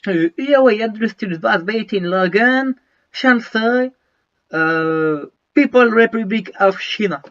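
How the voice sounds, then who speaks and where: A man's voice: high voice, slow pace, a bit bright. Stuffy-nosed guy rambling on a lo-fi mic. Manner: rambling, restarts, slangy. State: has a cold, stuffy and short of breath. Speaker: YouTuber showing his gear. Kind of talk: casual vlog chat to viewers.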